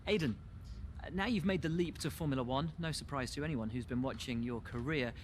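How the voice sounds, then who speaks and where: An actor playing a courteous, inquisitive motorsport interviewer asking press questions. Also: male voice, medium pitch, brisk, slight background noise, natural voice.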